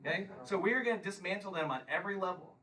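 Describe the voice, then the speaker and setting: A man's voice: high voice, rapid-fire, pushed and bright, distant mic, low-quality recording. A man in his fifties giving a combative campaign speech and taking questions at a local meeting, often pressing "Okay?". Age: 50s. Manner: combative, emphatic, repeats "Okay?". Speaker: congressional candidate.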